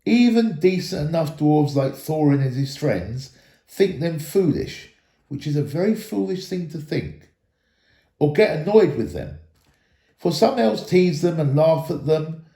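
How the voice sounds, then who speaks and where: Male storyteller, very lively intonation, soft-edged mellow tone, dramatic reading, roomy echo. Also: a little high, measured pace. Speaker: storybook reader, school staff member. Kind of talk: expressive storytelling narration of a children's fantasy novel. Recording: echoey room.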